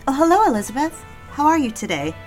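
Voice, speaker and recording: A woman's voice: high-pitched, big pitch swings, fast talker. Actress as a lonely woman confiding in her diary, music behind. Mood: polite, friendly. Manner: confessional, brooding, literary. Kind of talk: dramatic first-person diary narration.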